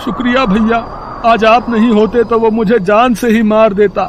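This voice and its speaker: A man's voice: high voice, little pitch movement, moderate pace, slight background noise, natural voice. A man voicing a poor, meek fruit cart vendor in a Hindi cartoon, pleading plaintively. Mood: pleading, distressed. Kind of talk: Hindi cartoon story dialogue.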